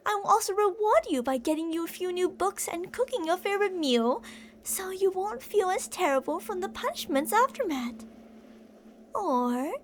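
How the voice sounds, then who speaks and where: Obsessive female yandere captor played by a woman, ASMR roleplay: high, very animated, theatrical, sugary endearments laced with menace. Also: a little hiss or hum, full character voice. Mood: coaxing menace, then threatening. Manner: theatrical, teasing sing-song with endearments and threats. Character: older obsessive yandere woman kidnapper, possessive, menacing, sweet-talking.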